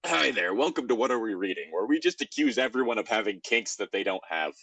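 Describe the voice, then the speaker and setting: A man's voice: fairly low pitch, animated, fast, bright, forceful tone, dry recording. A man hosting a comedy podcast, joking in a self-assured way in casual banter. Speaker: podcast host. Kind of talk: casual comedic banter while reading fan fiction aloud.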